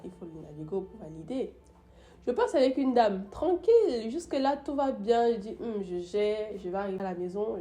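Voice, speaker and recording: A woman's voice: medium pitch, mellow. A young woman with the flu tells a story about her day in a casual vlog, with many fillers and restarts. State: sick with the flu. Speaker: YouTuber.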